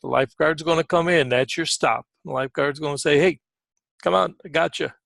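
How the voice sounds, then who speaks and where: Gravelly man giving informal trading commentary. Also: fairly high pitch, brisk, fairly bright tone, no room sound. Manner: frequent throat clearing. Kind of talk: informal live market commentary.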